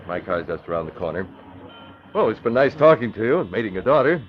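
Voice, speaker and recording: An American actor playing a calm, courteous young newspaper publisher in a 1940s radio drama, measured and assured. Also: male voice, lowish voice, soft background music. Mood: cordial. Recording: muffled old radio, busy background.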